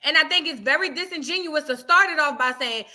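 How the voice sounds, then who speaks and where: A woman's voice: sits high, brisk, sharp tone. A woman giving blunt, questioning commentary as she reacts to a clip.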